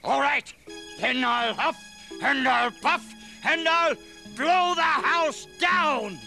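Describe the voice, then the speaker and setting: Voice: high voice, slow pace, gravelly, sharp tone, soft background music, full character voice. A man voicing a scheming, blustering big bad wolf in a puppet comedy. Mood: wheedling. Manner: hammy, blustering.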